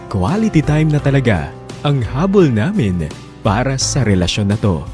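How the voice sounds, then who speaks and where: A man with a Filipino accent voicing a letter writer in love, narrating a romance in reflective, sentimental radio drama style. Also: medium pitch.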